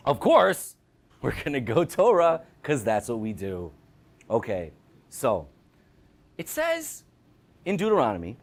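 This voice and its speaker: A young man teaches Torah in a bright, high voice that leaps up and down in pitch. He talks casually and jokes, acting out the people in the story. His delivery stays lively and conversational. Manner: jokey, conversational, acts out voices. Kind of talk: animated school talk on Torah.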